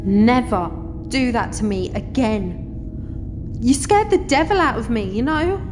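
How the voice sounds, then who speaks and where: A woman's voice: a little high, animated, a little slow, music in the background. An actress playing a fierce, caring female spaceship crew member in an audio drama. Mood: shaken, tender.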